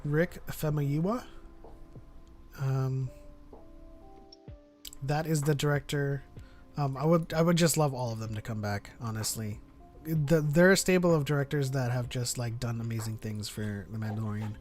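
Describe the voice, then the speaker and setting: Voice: medium pitch, normal speed, pauses often, darkish tone, soft background music. A podcast host chatting casually in a fan discussion. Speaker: podcast host reading viewer chat.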